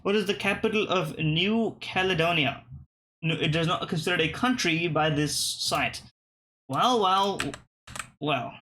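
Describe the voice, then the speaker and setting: A man's voice: on the high side, lively intonation, measured pace, background noise. A young man casually thinking aloud and swearing while playing geography web games. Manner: thinking aloud, profane, muttering and restarting. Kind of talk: casual gameplay commentary.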